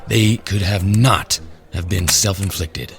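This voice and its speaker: A man's voice: sits low, slow pace, gravelly, soft background music, exaggerated voice. A man playing a matter-of-fact crime scene investigator in a radio drama. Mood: clinical.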